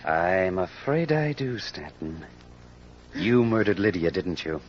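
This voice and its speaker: An actor playing a wry, unflappable amateur sleuth, calm and playful, in old radio drama style. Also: male voice, sits low, takes it slowly, slight background noise, exaggerated voice. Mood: grave. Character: wry, unflappable male amateur sleuth who dotes on cats. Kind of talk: 1940s radio acting. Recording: muffled vintage radio recording.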